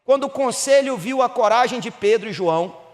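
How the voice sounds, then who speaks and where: A Brazilian pastor from Minas Gerais with a Mineiro accent, preaching a sermon that rises to emphatic bursts and drops low again. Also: male voice, expressive, brisk, in a large hall. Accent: Mineiro Brazilian Portuguese. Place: church hall.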